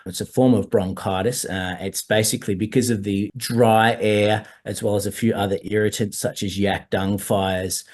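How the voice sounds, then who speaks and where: A hoarse man, a doctor explaining altitude medical issues in a measured way during a webinar over a video call. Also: fairly low pitch, fairly even intonation, a little mellow. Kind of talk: webinar presentation.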